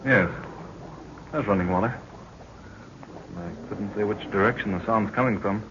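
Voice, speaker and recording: Man playing young jungle sidekick, polite and curious, lowish voice, fuzzy dull 1930s radio recording. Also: moderate pace, noisy background, lightly acted. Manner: inquisitive, deferential. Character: eager, respectful young man, jungle expedition companion. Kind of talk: 1930s radio adventure acting.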